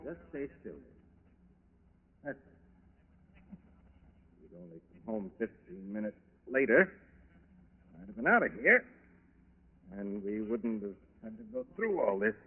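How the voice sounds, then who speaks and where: Male, low register, a gentlemanly gunman burglar, chatty yet menacing; thin vintage radio sound. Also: sing-song, slightly rough, exaggerated voice. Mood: politely regretful. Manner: courteous, unhurried, chatty while menacing. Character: polite, cool-headed male burglar with a gun. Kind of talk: 1940s radio acting. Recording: muffled 1940s radio broadcast recording.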